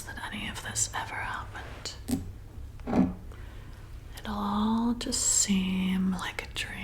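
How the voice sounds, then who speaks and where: Woman playing a slow, whispering, hypnotic vampire seductress. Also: mid-range voice, darkish tone. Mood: soothing, hypnotic. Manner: soothing, coaxing, slow and repetitive. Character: flirty, hypnotic, hungry female vampire bartender. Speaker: ASMR roleplay performer. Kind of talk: whispered ASMR roleplay.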